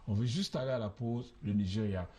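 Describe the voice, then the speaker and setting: A man hosting a studio sports show, prompting his guest and recapping matches briskly. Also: on the low side, warm tone.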